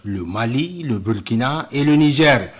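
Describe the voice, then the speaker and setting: Male news reporter, formal scripted voice-over, mid-pitched, measured and solemn. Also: lively intonation, breathy edge.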